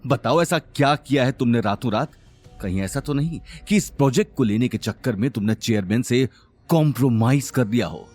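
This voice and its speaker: A man's voice: medium pitch, expressive, normal speed, darkish tone, faint music behind, natural voice. A man voicing an arrogant, spiteful young businessman in an audio drama, taunting and sneering at his rivals. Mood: accusing, sneering. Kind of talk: Hindi audio drama acting.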